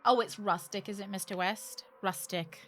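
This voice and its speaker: A British woman with a bright, forward voice chats casually as she streams a game. She is jokey and sarcastic, swears freely, and keeps her pitch in a middle range. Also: fairly even intonation, normal speed, slight background noise. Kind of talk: casual livestream chat while playing a game.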